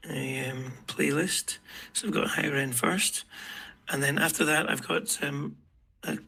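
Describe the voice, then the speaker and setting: A middle-aged man with a rough voice hosts a casual group chat, halting and full of fillers. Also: fairly high pitch, sharp tone, low-quality recording. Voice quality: rough, gravelly voice. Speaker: host.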